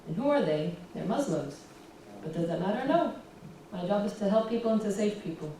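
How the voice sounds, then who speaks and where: A young American woman giving a relaxed public talk with slides, often pausing with "um". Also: medium pitch. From United States. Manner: relaxed, fills pauses with ums. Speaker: guest speaker. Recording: roomy echo, distant, faint hiss.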